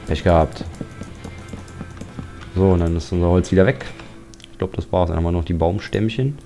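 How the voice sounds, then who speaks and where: A man's voice: sits low, moderate pace, creaky, dark tone. A man giving casual, joking commentary while playing a building game, grumbling at monsters along the way. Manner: chatty, joking, grumbles at mobs. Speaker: Let's Play commentator. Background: game music.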